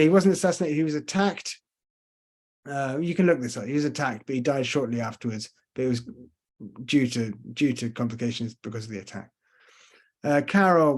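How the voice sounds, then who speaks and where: A man's voice: mid-range voice, a little mellow. A man with a London accent and a slight Scottish trace, giving a casual online tour lecture and answering questions, hesitant and full of fillers, on a computer mic. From Scotland and London. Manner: chatty, hesitant with many fillers. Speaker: tour guide. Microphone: computer mic on video call.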